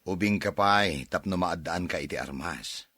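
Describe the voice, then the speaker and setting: A man's voice: deep voice, moderate pace, bright, forceful tone, no echo, clean studio sound. A man playing a cautioning father in a Filipino audio drama. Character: cautioning father of a young shepherd boy.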